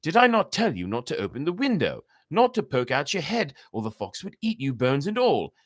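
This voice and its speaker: A stern, protective storybook cat, played by a man, scolding in a raised, emphatic voice. The pitch moves around a lot as it lays down its warnings. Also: huge pitch swings, a little fast, dry recording, clean studio sound. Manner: emphatic, admonishing.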